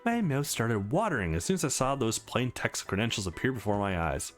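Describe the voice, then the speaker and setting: A man's voice: medium pitch, very animated, fairly quick, soft background music, natural voice. A man voicing an eager, nerdy young woman hacker, playful and cocky, from a visual novel game. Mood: eager, confiding.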